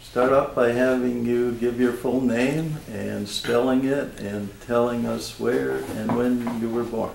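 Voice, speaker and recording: A man's voice: mid-range voice, fairly even intonation, unhurried, vocal fry, hardly any pauses, a little mellow. An older man with an American accent, conducting a formal oral history interview as a volunteer. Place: small office indoors, seated. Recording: roomy, faint background noise.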